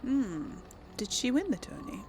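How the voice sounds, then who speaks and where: A woman's voice: fairly high pitch, big pitch swings, unhurried, a little mellow, a little hiss or hum. A woman hosting a casual game playthrough, chatting and thinking aloud hesitantly as she role-plays dialogue. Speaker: gaming host and role-player.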